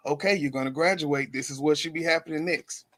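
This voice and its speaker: A man's voice: a little high, brisk, fairly bright tone. A man hosting a podcast, an educator, talks in a friendly way with many fillers and frequent agreeing interjections.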